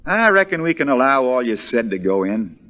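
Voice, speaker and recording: A man's voice: mid-range voice, big pitch swings, a little fast, sharp tone. A man playing a plain-spoken, wry country lawyer with a folksy drawl, in the style of 1940s radio drama. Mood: dryly teasing. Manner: folksy, drawling, dryly teasing.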